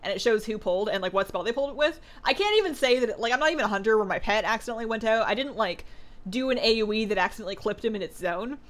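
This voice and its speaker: A woman's voice: on the high side, expressive, rapid-fire. A woman streamer chatting casually with viewers while she plays, her voice a little worn from two hours of talking. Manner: chatty, reads viewer questions aloud, frequent "like" and "um". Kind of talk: casual live-stream chat while gaming.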